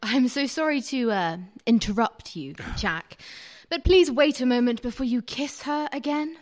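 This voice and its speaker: A woman's voice: fairly high pitch, expressive, moderate pace, natural voice. A woman playing a cool, wronged wife in a radio play, dryly ironic and polite. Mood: icily polite. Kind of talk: stage-style radio play acting.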